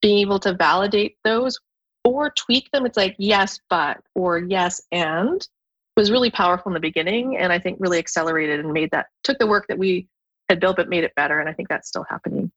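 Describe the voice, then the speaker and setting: A woman from Canada, a guest on a podcast, talking thoughtfully in a relaxed conversation, often restarting her sentences. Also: lowish voice, brisk, highs cut off. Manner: thoughtful, with frequent restarts and fillers. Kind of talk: conversational interview.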